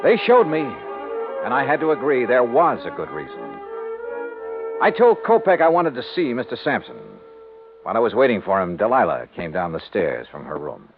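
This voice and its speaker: An actor playing a shrewd, world-weary insurance investigator, clipped and hard-boiled, in 1950s radio detective style. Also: male voice, medium pitch, wildly swooping pitch, moderate pace, narrow, tinny audio, over music. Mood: brisk narrating. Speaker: detective hero and narrator. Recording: band-limited old 1950s radio recording.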